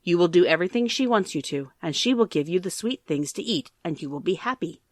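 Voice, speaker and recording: A woman's voice: fairly low pitch, lively intonation, brisk, dry recording, studio quality. A woman voicing an envious stepmother who speaks with false sweetness to the children. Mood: coaxing.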